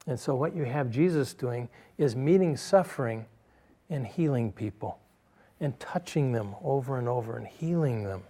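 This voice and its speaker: Deliberate man making emphatic points. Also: medium pitch, lively intonation, mellow. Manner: deliberate, emphatic, repeats key phrases. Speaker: panel guest. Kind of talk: religious discussion.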